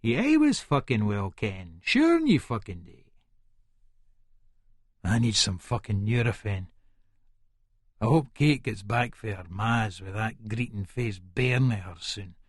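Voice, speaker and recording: A man's voice: fairly low pitch, very animated, unhurried, pauses often, dry recording, clean studio sound. An actor with an Edinburgh Scottish accent playing a violent, volatile hard man who narrates an audiobook in the first person, sweary and aggressive. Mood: irritable, wary. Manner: aggressive, menacing, sweary, colloquial.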